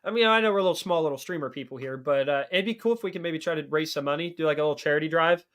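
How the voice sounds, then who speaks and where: A man co-hosting a livestream, reading out announcements in an energetic, salesy way and repeating himself. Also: fairly high pitch, racing. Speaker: co-host of a car audio livestream.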